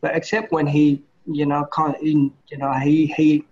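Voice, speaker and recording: A middle-aged man with a Vietnamese accent, Vietnamese his first language, giving feedback in an online medical class, halting with many restarts and "you know" fillers. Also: on the high side, little pitch movement, a little mellow. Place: home room on a video call. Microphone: laptop or webcam mic on a video call. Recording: dull lo-fi video call audio.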